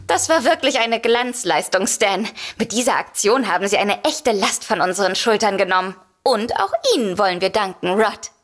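A woman's voice: mid-range voice, lively intonation, moderate pace, few pauses, fairly bright tone, faint music behind. An actress playing a polite young female client in a comedy mystery audio drama. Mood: grateful.

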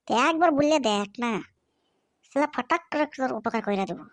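Woman voicing a high-pitched, cocky cartoon young man. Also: animated, fairly quick, dry recording. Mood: boastful. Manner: brash, cocky. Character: brash, cocky young man, a foul-mouthed friend. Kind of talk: comic cartoon dialogue.

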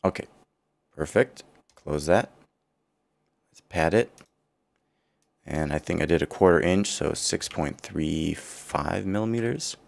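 Deep, gravelly male tutor with a worn-out voice, calm self-correcting software walkthrough. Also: slow, pauses often. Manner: thinking aloud, self-correcting. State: partly lost voice, low and rough. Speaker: CAD tutorial instructor. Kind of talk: screen-recorded software walkthrough.

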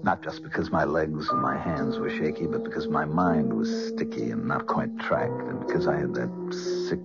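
A man's voice: very deep voice, normal speed. An actor in a 1970s radio drama playing a weary, self-pitying gambler husband at the end of his rope. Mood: weary, brooding. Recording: muffled vintage radio broadcast, music behind.

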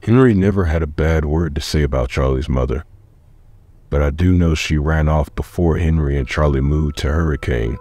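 A man voicing a watchful, world-weary middle-aged man in a comic dub, terse, measured and dryly wry. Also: a bass voice, mellow. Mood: reflective. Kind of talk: dramatic dialogue. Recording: music behind the voice.

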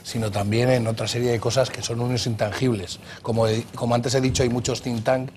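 A man on a TV panel giving a brisk rebuttal in a studio debate. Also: mid-range voice, flat delivery, a little mellow, a little hiss or hum.

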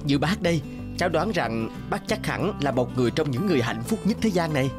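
A man's voice: fairly high pitch, very animated, a little fast, music in the background. A man voicing an eager, polite young scholar in a children's cartoon, earnest and respectful. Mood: thoughtful.

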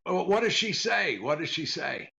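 An older actor playing a dry, exasperated comedian husband in a 1940s-style radio comedy, gravelly, stammering and repeating his questions. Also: high voice, big pitch swings, moderate pace, sharp tone, muffled recording, heavily acted. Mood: puzzled.

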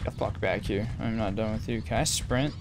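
A man's voice: on the low side, moderate pace, noisy background. A man giving casual gameplay commentary in a slangy, joking, reactive manner. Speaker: gamer, let's player. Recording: game music behind. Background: video game music.

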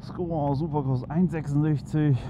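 A man's voice: fairly high pitch, fairly even intonation, measured pace. A man chatting casually and enthusiastically into his helmet headset while riding a motorcycle through town, with lots of fillers. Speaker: motovlog host. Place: on a motorcycle in city traffic outdoors. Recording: muffled helmet mic with loud wind and traffic noise. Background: engine, wind and traffic.

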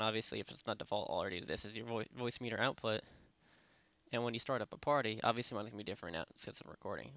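A young man casually talking through a software tutorial at his home computer, rambling with lots of fillers and restarts. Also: fairly low pitch, creaky, dry recording. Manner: rambling, many fillers and self-corrections. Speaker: tutorial host. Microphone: desktop microphone at a PC.

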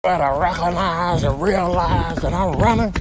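A man voicing an indignant, boastful animal in a comic voiceover, shouting in long rambling outbursts. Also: sits high, normal speed, over a noisy crowd, lightly acted.

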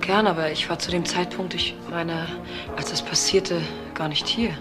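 A woman's voice: on the low side, fairly even intonation, moderate pace, music in the background, lightly acted. An actress playing a married woman in a crime drama, guarded and hesitant, torn over a secret affair. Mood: guarded, evasive. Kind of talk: German TV crime drama acting.

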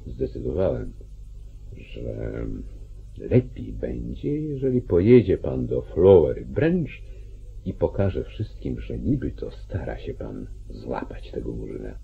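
Very deep, creaky, domineering judge. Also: male voice, very animated, faint music behind, full character voice. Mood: scheming, commanding. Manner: commanding, deliberate, scheming. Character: calculating, domineering small-town judge, older male politician. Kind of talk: mid-century Polish radio play acting. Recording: muffled, narrow-band 1940s radio recording.

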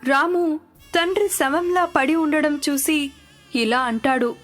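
A woman's voice: sits high, takes it slowly. A woman narrating a scripted moral story as a storyteller, with music underneath.

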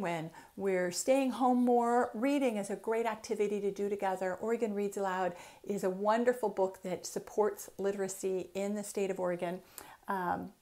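A middle-aged American woman, a children's author, reading aloud expressively and deliberately for a story time, talking directly to young listeners. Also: mid-range voice, a little mellow. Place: small room at home, indoors.

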